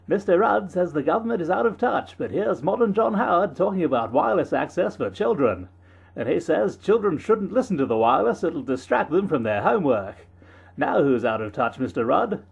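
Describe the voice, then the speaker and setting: A man voicing a pompous, chirpy 1940s newsreel announcer, brisk, declamatory and jovially condescending, in a patriotic propaganda parody. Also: high-pitched, vocal fry, darkish tone, slightly rough, heavily acted. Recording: dull, band-limited vintage newsreel sound.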